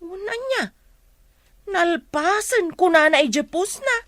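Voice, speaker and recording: A woman's voice: very high-pitched, lively intonation, takes it slowly, a bit bright, dry recording, studio quality, full character voice. A woman with an Ilocano accent playing a chatty, gossipy villager in a radio comedy drama, with a teasing manner. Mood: bantering.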